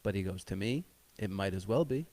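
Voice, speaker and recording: Low-voiced casual American man. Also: expressive, fairly quick, darkish tone. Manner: informal, lots of "like" and "you know", tells family story. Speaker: podcast host. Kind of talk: casual personal conversation.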